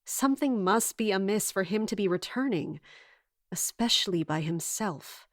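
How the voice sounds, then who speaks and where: Woman acting as measured, resolute fantasy guard captain. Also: medium pitch, animated, no echo, studio quality, lightly acted. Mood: scheming, decisive. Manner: formal, measured, commanding. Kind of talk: fantasy audio drama acting with first-person narration.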